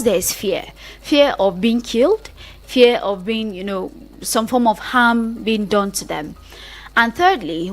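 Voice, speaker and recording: Earnest Nigerian woman presenting on radio. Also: medium pitch. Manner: earnest, emphatic, listing points with repeated phrases. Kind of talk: explanatory radio talk on a social issue.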